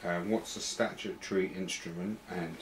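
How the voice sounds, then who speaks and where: A man's voice: low voice, steady tone, moderate pace. A man acting as interviewer, putting short plain questions in a training interview. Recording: roomy echo, faint background noise.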